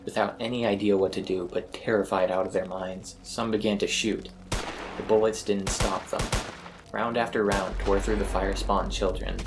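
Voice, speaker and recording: A man's voice: low voice, gravelly, noisy background. A man narrating a scripted first-person horror story as its storyteller. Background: eerie ambient music.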